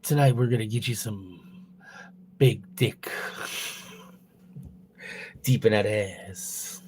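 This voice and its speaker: A man putting on the voice of a smooth late-night male radio DJ, crooning slowly and low. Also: a little mellow, slight background noise. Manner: slow, low, crooning delivery.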